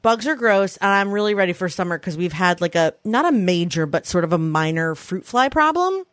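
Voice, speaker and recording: A woman's voice: fairly low pitch, lively intonation, fairly quick, a bit bright, no echo, clean studio sound. A woman in her thirties chatting casually as a podcast co-host, telling rambling stories with lots of asides. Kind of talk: casual chat.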